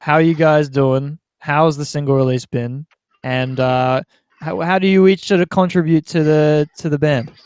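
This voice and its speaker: A man's voice: a little high, steady tone, normal speed, darkish tone, smooth, dry recording. A man hosting a casual podcast chat. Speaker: podcast host.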